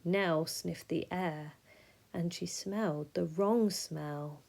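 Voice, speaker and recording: A woman reading a rhyming children's story aloud in an expressive, measured way, sometimes dropping soft. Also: lowish voice, creaky. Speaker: storybook reader.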